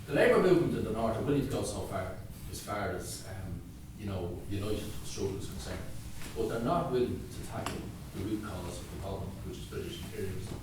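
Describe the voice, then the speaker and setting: Guy in the audience, kinda low voice, lots of ums, noisy far-off hall sound. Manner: halting, many fillers, builds to forceful points. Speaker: audience member asking a question. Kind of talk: impassioned political comment from the floor. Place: large meeting hall. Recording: echoey hall, distant mic, background noise.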